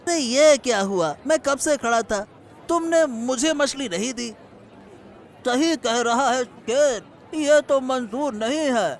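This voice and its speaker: A man voicing an impatient, demanding customer at a village fish market in a cartoon, with a creaky voice. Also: very high-pitched, slow pace, a faint crowd, full character voice. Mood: indignant. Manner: demanding, repetitive. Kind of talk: cartoon story acting.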